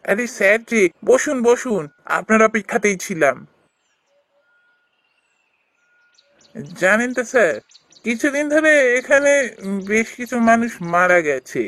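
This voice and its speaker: Police officer, horror cartoon, male actor: high-pitched, deferential, halting and dramatic, closely recorded and dry. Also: animated, fairly bright tone, dry recording. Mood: eager, respectful. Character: deferential local police officer reporting eerie killings. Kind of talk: animated ghost story voice acting.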